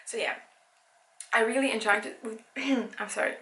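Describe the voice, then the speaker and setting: A woman's voice: fairly high pitch, fairly even intonation, moderate pace, pushed and bright. A young woman from Belgium, a native Dutch speaker, chatting casually about books with a rambling, self-correcting manner. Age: young adult. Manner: chatty, rambling, many restarts. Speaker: book vlogger. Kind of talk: casual book review. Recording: echoey room.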